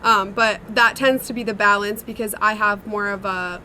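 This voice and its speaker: A woman's voice: medium pitch, steady tone, normal speed, bright, forceful tone, slight background noise, low-quality recording. A young woman, a singer-songwriter, answering interview questions in a chatty, reflective way with many fillers. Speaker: songwriter and singer in a sister duo.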